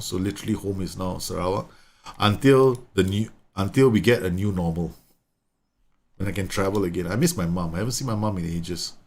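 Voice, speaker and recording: A man's voice: lowish voice, vocal fry. A man from Singapore, chatting and explaining tactics while live streaming a game, often repeating words. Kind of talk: live gameplay commentary and chat.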